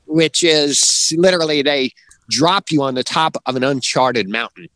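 A man's voice: fairly high pitch, normal speed, a bit bright, no room sound. A middle-aged man co-hosting a podcast in a casual, conversational style about writing. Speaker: podcast co-host. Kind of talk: casual chat about writing, with some reading aloud.

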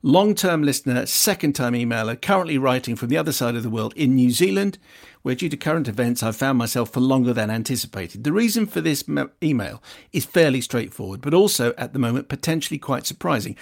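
A man's voice: mid-range voice, lively intonation, a little fast, gravelly. A man hosting a radio film show, reading listener emails aloud in a chatty way with wry asides.

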